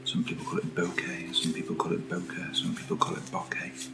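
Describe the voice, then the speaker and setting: A British man speaking softly in an ASMR unboxing style, hushed and often slipping into a whisper, as the host of the video. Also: sits low, monotone, rapid-fire, music in the background. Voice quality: soft, often dropping to a whisper. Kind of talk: soft-spoken ASMR unboxing.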